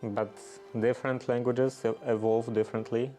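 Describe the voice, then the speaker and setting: A man's voice: medium pitch, flat delivery, moderate pace, warm tone, faint music behind. A native Polish-speaking man with a Polish accent, chatting casually on a livestream and thinking aloud in English with many fillers and restarts. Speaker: livestream host. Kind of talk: casual livestream chat, answering viewers.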